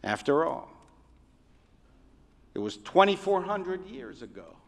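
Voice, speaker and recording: A rabbi giving a sermon in a measured, oratorical way, with deliberate pauses. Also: male voice, a little high, big pitch swings, creaky. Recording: reverberant hall.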